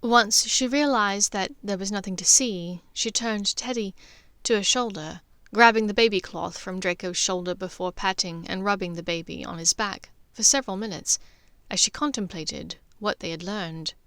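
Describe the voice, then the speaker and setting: A woman's voice: mid-range voice, normal speed, a bit bright, no room sound. Woman narrating fiction, close dry sound. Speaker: narrator and author of a fan fiction podfic. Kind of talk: scripted audiobook narration.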